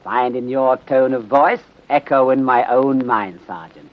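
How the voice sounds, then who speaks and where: Old muffled radio serial, guy acting wise polite chinese detective, middle aged, formal clipped phrasing, drops articles, medium pitch. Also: natural voice. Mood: grave, resolute. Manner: deliberate clipped syntax dropping articles, formal, aphoristic. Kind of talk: 1930s radio drama acting. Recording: dull muffled 1930s radio recording.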